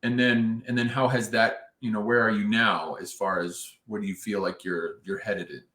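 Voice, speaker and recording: A man interviewing a client, asking questions haltingly, with many ums and restarts. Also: fairly low pitch, fairly even intonation, a bit bright. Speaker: interviewer and coach. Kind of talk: casual interview. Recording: echoey room.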